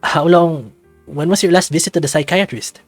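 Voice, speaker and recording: Man voicing a playful, affectionate wolf guy, pitched up. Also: normal speed, no room sound, faint music behind, natural voice. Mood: worried, caring. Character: Marcus, playful affectionate male wolf, caring and worried. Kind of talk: visual novel character acting.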